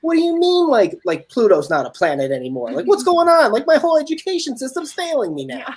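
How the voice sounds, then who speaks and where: Animated, husky-voiced woman chatting. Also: high-pitched, fast. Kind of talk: casual chat.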